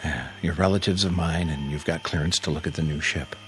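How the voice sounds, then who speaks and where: An actor in a radio drama playing an anxious test pilot desperate to escape, tense and questioning. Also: male voice, a bass voice, fairly quick, a little hiss or hum. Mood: anxious, grave.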